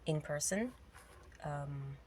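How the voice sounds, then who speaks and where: A woman's voice: low-pitched, animated, slow, far from the mic. A Jamaican woman talking casually to camera while driving, musing aloud with many fillers, her voice congested and a bit nasal. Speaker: vlogger. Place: inside a car in city traffic. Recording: loud road noise, mic set back.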